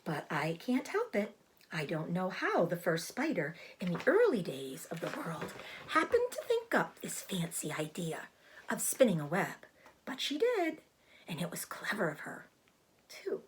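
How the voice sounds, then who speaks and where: A woman voicing a proud, clever female spider in a storybook, with a thin, breathy voice and a genteel, self-assured, explanatory manner. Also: lowish voice, wildly swooping pitch, a little slow, a little hiss or hum. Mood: matter-of-fact, defensive. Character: clever, proud, matter-of-fact female spider, bloodthirsty trapper.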